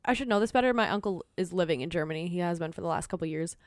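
Smooth-voiced Minnesotan young woman chatting. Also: lowish voice, racing, no room sound, clean studio sound. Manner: animated storytelling, acts out quotes, laughs often. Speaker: podcast guest. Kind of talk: casual chat.

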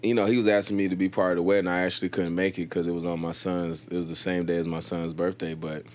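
Deep voiced guy in his 30s, podcast guest, calm measured storytelling. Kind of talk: casual conversation.

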